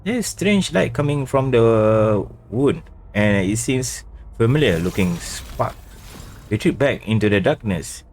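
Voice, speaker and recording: A male game streamer reading dialogue aloud during a playthrough in a halting, stumbling manner. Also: medium pitch, expressive, a little mellow.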